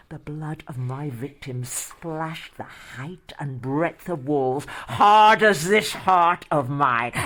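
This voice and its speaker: A woman's voice: sits low, fairly bright tone, full character voice. An actress in a horror audio play, playing a cruel, gloating old noblewoman murderess with a theatrical, sinister delivery that drops into hisses. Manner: theatrical, sinister, drawn-out, dropping to hissed whispers.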